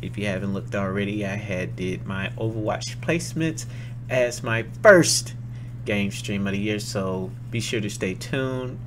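A young man streamer reacting casually to a game trailer, excitable and slangy, repeating himself, on a desk mic at home. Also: fairly low pitch, a little slow, slight background noise. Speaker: gaming streamer.

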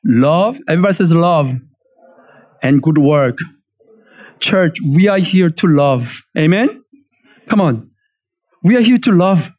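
A man in his forties with a Korean accent, preaching a lively sermon from a church stage and calling for amens. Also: on the high side, takes it slowly, pauses often. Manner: animated, emphatic preaching with call-and-response. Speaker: pastor.

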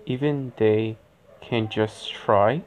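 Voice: mid-range voice, steady tone, slow pace, close to an everyday voice. A performer voicing a lazy, playful cartoon fox big brother, with a drawling, theatrical delivery. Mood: calm menace. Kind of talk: character voice lines, acted.

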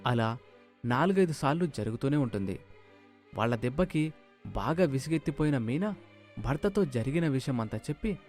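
A man tells a moral story as scripted narration. His voice sits in a middle range, with soft music playing behind it. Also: medium pitch, lively intonation, moderate pace, pauses often.

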